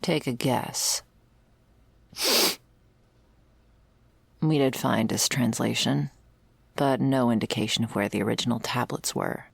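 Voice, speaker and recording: A husky woman with a fry-laden voice, acted out by a woman as a jaded vampire investigator in an audio roleplay. She confides dark lore with dry, sardonic weariness, close to the mic in a very dry space. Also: normal speed, no echo, full character voice. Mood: wry. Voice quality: husky, creaky low voice. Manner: dry, sardonic, swearing, hushed in places. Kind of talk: ASMR roleplay, intimate dramatic monologue.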